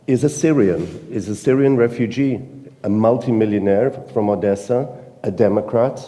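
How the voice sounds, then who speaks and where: A man with a British accent, speaking earnestly and emphatically at a conference panel, pausing often with fillers. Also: fairly low pitch, warm tone, long reverb tail. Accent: British English. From Britain. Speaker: panel speaker, newspaper editor. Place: conference hall.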